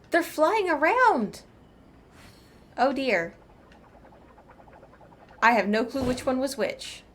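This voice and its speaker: A woman's voice: high-pitched, sing-song, extremely slow, pauses often, fairly bright tone, slight background noise. A woman doing casual gameplay commentary as a streamer, chatty, playful and gushing. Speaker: game streamer. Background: game music.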